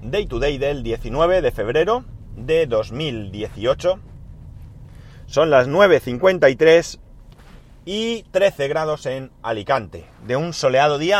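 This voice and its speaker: A man, speaking at a medium pitch, records a casual audio diary inside his car. His throat is sore, and he clears it now and then. He thinks out loud with plenty of fillers and stops to correct himself. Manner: rambling, self-correcting, thinks aloud with many fillers. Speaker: podcast host recording a daily audio diary. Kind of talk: casual spoken diary monologue.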